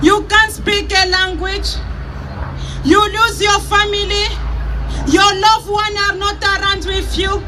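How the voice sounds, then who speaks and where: A woman from the Democratic Republic of Congo, with a Congolese accent and English as a later language, giving a slow, emphatic speech at an outdoor rally. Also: very high voice, little pitch movement. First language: not English; English is her fourth language. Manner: slow, emphatic, leads call-and-response chants. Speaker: rally speaker. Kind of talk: impassioned speech to an outdoor crowd. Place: outdoor street demonstration. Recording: noisy outdoor rally with crowd. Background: cheering crowd and traffic.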